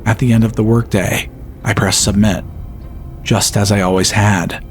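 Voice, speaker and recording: A man reading a first-person horror story as a podcast narrator, in a measured, unhurried way. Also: fairly low pitch, little pitch movement, soft background music.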